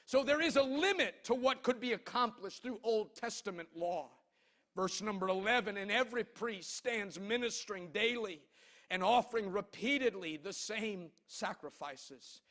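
High-pitched, bright-voiced male preacher. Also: lively intonation. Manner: emphatic, declamatory, with drawn-out phrases. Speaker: pastor. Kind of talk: impassioned sermon.

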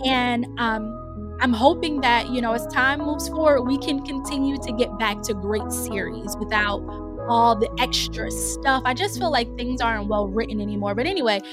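A woman's voice: fairly high pitch, moderate pace, over music. A woman hosting a casual solo podcast, a little nasal from a cold, talking in a chatty, rambling way with restarts. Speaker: podcast host.

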